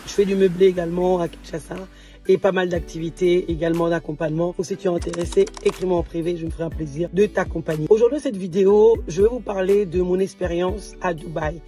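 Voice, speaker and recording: A woman from DR Congo, an entrepreneur chatting casually to her viewers in a vlog. She is slightly hoarse and often restarts and repeats words. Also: lowish voice, steady tone, fast talker, warm tone, faint music behind. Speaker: entrepreneur and vlogger.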